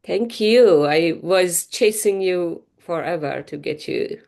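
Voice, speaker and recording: Lower-voiced woman hosting an interview. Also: gravelly. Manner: halting, non-native phrasing, warm laughs. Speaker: interview host.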